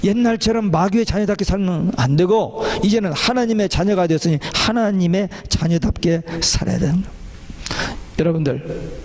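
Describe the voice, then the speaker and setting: A man's voice: fairly high pitch, a little mellow, a little hiss or hum. A man preaching a church sermon as a pastor, exhorting listeners and putting questions to them.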